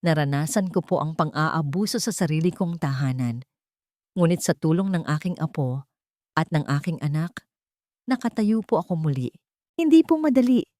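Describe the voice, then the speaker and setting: A woman voicing a gentle, grateful elderly grandmother in an audio story, soft but firm. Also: low-pitched, expressive, moderate pace, no room sound, studio quality.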